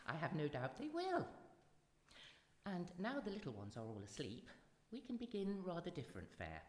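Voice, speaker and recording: Woman playing a theatrical storytelling auntie, echoey hall. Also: low voice. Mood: playful, sinister relish. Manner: dramatic, relishing, drawn-out suspense. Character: theatrical auntie storyteller of ghostly fairy tales, Auntie Mildred. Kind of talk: live radio drama storytelling. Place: live performance in a reverberant hall. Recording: echoey hall with long reverb, music behind.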